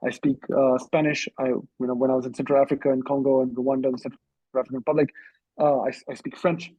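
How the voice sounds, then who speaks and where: A man with a husky voice giving measured, reflective, slightly hesitant answers as a guest in an online discussion. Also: mid-range voice, little pitch movement, mellow, no room sound, highs cut off. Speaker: interview guest, author and journalist. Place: online video call.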